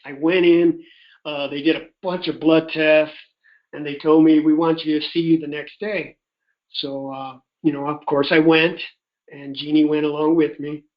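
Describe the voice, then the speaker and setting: A man's voice: on the high side, moderate pace. A man, middle-aged or older, telling a personal story in a folksy, chatty way, acting out remembered dialogue on a conference call. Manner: animated retelling with quoted dialogue. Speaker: host telling his personal health testimony. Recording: dull, muffled conference-call audio.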